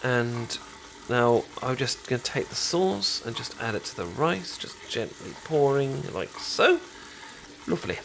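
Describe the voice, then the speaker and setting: Caring British male best friend played by a man for intimate ASMR roleplay: mid-pitched, casual, hesitant, gently explaining over clear kitchen ambience. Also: sing-song, a little slow, a little hiss or hum, full character voice, poor audio. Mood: calm, instructive. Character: caring, chatty male best friend teaching sushi making.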